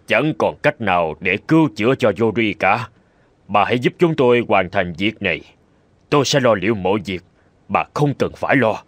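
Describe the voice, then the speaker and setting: A man voicing a stern, scheming middle-aged wealthy father in a cartoon fairy tale, speaking in a measured, deliberate way. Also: lowish voice, expressive, dry recording. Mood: calm scheming. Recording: clear background music.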